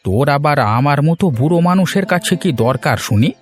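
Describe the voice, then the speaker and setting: A man's voice: a little high, animated, a little fast, faint music behind, natural voice. A man voicing a weary, pleading old village fisherman in a cartoon moral story. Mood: pleading, reluctant. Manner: pleading, reluctant.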